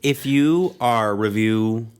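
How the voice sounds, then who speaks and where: A podcast co-host trading casual comedic banter with a deadpan, joking delivery. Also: mid-range voice, takes it slowly, smooth.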